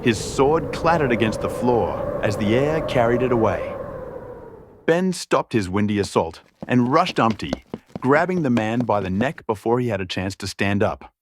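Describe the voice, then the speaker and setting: A man's voice: mid-range voice, lively intonation, moderate pace, background noise. A man reading scripted fantasy fiction as a storyteller, in a dramatic narration style.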